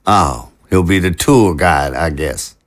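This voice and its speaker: Man playing folksy undead president, lively low voice. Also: slow pace, heavily acted. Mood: affable, diplomatic. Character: affable, diplomatic male zombie president. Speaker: actor in an audio drama. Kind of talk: dramatic dialogue acting.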